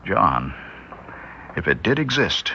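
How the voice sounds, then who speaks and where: Grave doctor, earnest and husky, bright lively voice, lo-fi old radio sound. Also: male voice, low voice, slow, a little hiss or hum, full character voice. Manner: earnest, speculative, urgent. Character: scientist doctor, grave, warning, male. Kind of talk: 1960s radio drama acting.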